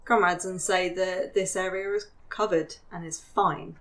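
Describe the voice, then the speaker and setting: A woman's voice: on the low side, steady tone, unhurried, fairly bright tone. A woman playing at the game table, talking in a hesitant, chatty way. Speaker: player. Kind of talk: casual game table chat.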